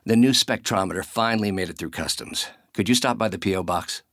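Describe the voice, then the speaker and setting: A man's voice: on the low side, brisk, a bit bright, no room sound, studio quality. A male actor voicing a brilliant, bitter middle-aged scientist turned vengeful villain in a video game, with articulate, theatrical delivery. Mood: thoughtful. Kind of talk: dramatic video game acting, isolated voice lines.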